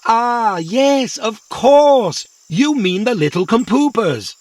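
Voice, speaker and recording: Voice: medium pitch, animated, slow pace, a little mellow, no echo. An actor voicing a naive, literal-minded, friendly little robot in a children's audio drama, earnest and puzzled. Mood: excited.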